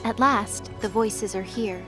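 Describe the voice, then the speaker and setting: A woman reading scripted dramatic story narration in a measured, descriptive storytelling manner. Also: mid-range voice, music in the background.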